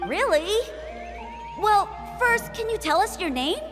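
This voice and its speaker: High-voiced, bold, slow-speaking child. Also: female voice, expressive, exaggerated voice. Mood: pert, insistent. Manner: measured, dramatic storytelling. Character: bold young child, dramatic storyteller, politely insistent. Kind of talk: video game character acting. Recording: long reverb, faint music behind.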